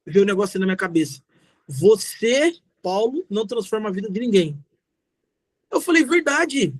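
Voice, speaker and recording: A man's voice: high voice, very animated, moderate pace, dry recording. A middle-aged Brazilian man giving a motivational talk in answer to questions, speaking informally and directly, repeating key phrases for emphasis. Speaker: guest speaker, social entrepreneur.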